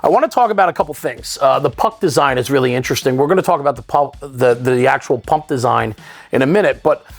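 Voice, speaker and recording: A man's voice: mid-range voice, quick pace, vocal fry, dry recording. A man hosting a studio interview, explaining things in an enthusiastic way. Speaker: show host interviewing a guest. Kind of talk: studio interview about fire apparatus.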